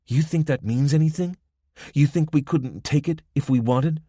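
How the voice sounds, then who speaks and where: A man voicing a confident young woman gang lookout with a soft Spanish accent, mocking and self-assured. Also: fairly high pitch, normal speed, no room sound, studio quality, voice pushed up, close to an everyday voice. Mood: taunting.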